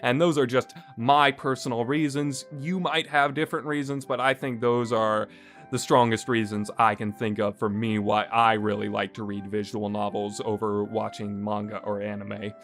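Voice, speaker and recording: A man's voice: lowish voice, flat delivery, moderate pace, fairly bright tone, soft background music. A man hosting a casual review podcast, talking in a rambling way with frequent fillers. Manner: rambling, many "uh" and "you know" fillers. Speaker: podcast host reviewing games.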